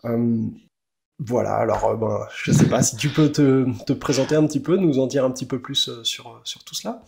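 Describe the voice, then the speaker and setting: A man's voice: medium pitch, normal speed, creaky, a little mellow, a little hiss or hum. A man hosting a casual interview over a headset mic, chatty and full of fillers. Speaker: podcast host.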